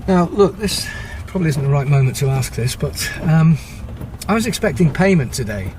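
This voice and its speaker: An actor playing a harried, evasive British husband in a radio drama, placating and hesitant. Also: male voice, fairly high pitch, lively intonation, normal speed, background noise, close to an everyday voice. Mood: flustered, harried. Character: harried, evasive husband and salesman under strain.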